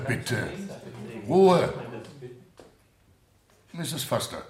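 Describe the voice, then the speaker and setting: Stern judge in an echoing courtroom. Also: male voice, mid-range voice. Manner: commanding, formal, reproving. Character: stern, authoritative male judge presiding over a murder trial. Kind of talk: 1970 German radio play acting. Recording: roomy, echoing studio courtroom.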